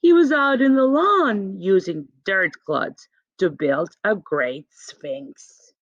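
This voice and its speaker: Slow, expressive woman reading a picture book. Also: mid-range voice, dry recording. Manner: dramatic pauses and emphasis, addressing a child listener. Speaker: storybook reader. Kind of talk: expressive children's picture book read-aloud. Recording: dull, muffled home recording.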